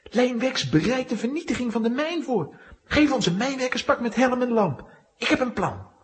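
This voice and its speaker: A man's voice: extremely high pitch, lively intonation, normal speed. A man playing a brave, earnest young hero in a children's radio play, speaking with urgency. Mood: urgent, determined.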